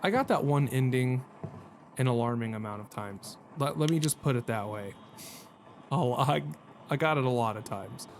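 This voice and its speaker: A mid-pitched man commentates on a game in a casual, slangy way. He jokes at his own expense. Clear game noise runs behind his voice. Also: expressive, normal speed. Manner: joking, self-deprecating, slangy. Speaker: gaming video host. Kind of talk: casual let's-play commentary.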